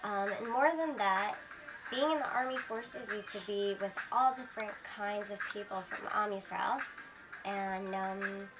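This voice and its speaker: A woman in her twenties from Brooklyn speaks plainly and sincerely about her army years, with a few ums along the way. Her voice sits in a middle register. Clear music runs under her words. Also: mid-range voice, unhurried, poor audio. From Brooklyn, New York. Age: 20s. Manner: sincere, conversational, with fillers. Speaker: former soldier interviewee. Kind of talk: earnest interview testimony.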